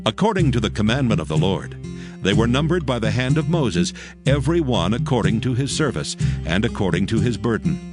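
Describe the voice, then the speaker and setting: Casting: male scripture narrator, lowish voice, formal and measured, plucked-string music underneath. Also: big pitch swings. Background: plucked string instrument music.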